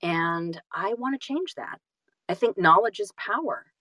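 A woman's voice: medium pitch, animated, measured pace, bright, forceful tone, no room sound, studio quality. A middle-aged woman chatting casually on a podcast, self-deprecating, often restarting and repeating her phrases. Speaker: audiobook narrator and guest.

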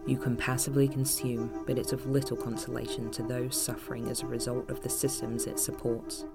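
A woman's voice: rumbling low, little pitch movement, fairly quick, gravelly. A woman playing a solemn, accusing drama narrator who addresses the listener directly in scripted narration. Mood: grave, accusing. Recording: loud music under the voice.

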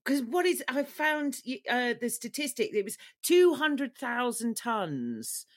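Woman, podcast host, casual chat, jokey, laughing, high voice, very animated pitch. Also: takes it slowly. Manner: chatty, jokey, laughs often.

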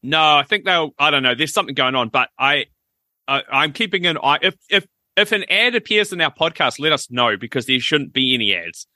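A man co-hosts a podcast, chatty and wry. His voice is sharp and very bright, and the sound is dry and close. He tends to explain things at length. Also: a little high, expressive, fairly quick, dry recording. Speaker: podcast co-host. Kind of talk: casual chat.

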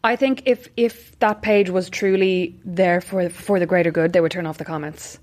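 A woman's voice: on the low side, fairly even intonation, moderate pace, darkish tone. A woman hosting a podcast interview, asking questions in an easygoing, affirming way. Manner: easygoing, affirming, casual fillers. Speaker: podcast host. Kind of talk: conversational interview.

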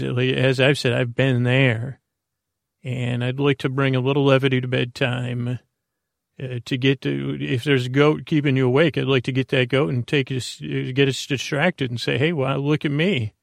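Creaky-voiced man rambling a bedtime monologue. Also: medium pitch, level tone, moderate pace, no room sound, studio quality. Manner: meandering, hesitant, tangent-prone. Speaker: podcast host.

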